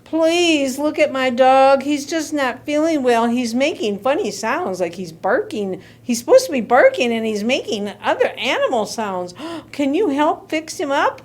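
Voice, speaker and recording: A woman's voice: high voice, big pitch swings, a little slow, few pauses. A woman voicing a worried, exasperated mother dog in a children's story, pleading and exclaiming dramatically. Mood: anxious. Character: worried, exasperated mother dog fussing over her son.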